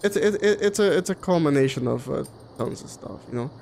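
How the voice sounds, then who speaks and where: A man's voice: a little high, lively intonation, a little fast, darkish tone. A man from Curaçao with Papiamento as his mother tongue, chatting casually and opinionatedly as he streams a game. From Curaçao, in the Caribbean. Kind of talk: casual live stream chat over gameplay. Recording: clearly noisy background.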